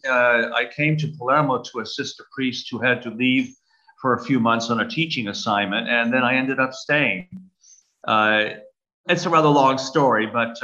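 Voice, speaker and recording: An older man from Brooklyn with a New York accent, a guest archbishop speaking in a measured, hesitant way with many fillers. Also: medium pitch, bright, forceful tone. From United States. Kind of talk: interview conversation. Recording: dull lo-fi audio.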